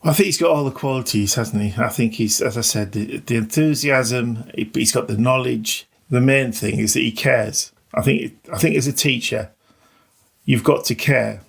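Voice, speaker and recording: A man's voice: medium pitch, normal speed, gravelly, slightly rough. A middle-aged or older man, a teacher, giving casual, reflective interview answers in a hesitant, self-effacing way. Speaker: maths teacher.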